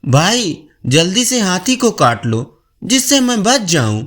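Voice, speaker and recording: A man's voice: high voice, sing-song, slow pace, a bit bright, full character voice. A man voicing a sly scheming storybook monkey. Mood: pleading. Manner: coaxing, persuasive. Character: scheming male monkey, sly smooth persuader.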